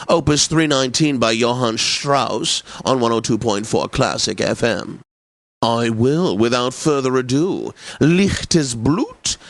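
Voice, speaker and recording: A man's voice: mid-range voice, fairly bright tone, no echo. A man playing a pompous, whimsical classical music radio host for a game, announcing with theatrical flourish and precision.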